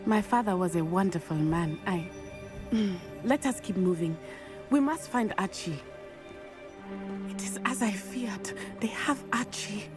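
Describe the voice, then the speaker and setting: A woman playing a solemn, brave teenage witch in a video game, speaking in a formal, measured way. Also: mid-range voice, natural voice. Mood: tense, determined. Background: music.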